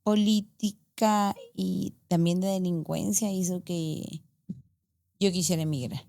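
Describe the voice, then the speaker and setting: A woman's voice: lowish voice, steady tone, gravelly, mellow. A middle-aged woman in casual podcast chat, answering questions and often finishing her partner's sentences. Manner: responsive, quick affirmations, finishes partner's sentences.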